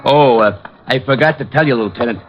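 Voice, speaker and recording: An actor playing a dim, hesitant, eager police sergeant in an old radio detective drama. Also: male voice, mid-range voice, music in the background, natural voice. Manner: hesitant, oafish, slow on the uptake. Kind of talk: 1940s-50s radio comic drama acting. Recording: muffled old-time radio recording.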